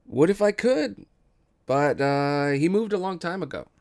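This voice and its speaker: A man's voice: fairly high pitch, expressive, measured pace, darkish tone, no echo, clean studio sound, pitched above the performer's own voice. A man voicing a glib, evasive young brother in a table read, casual and hesitant. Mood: breezy, evasive. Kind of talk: table read of a musical script.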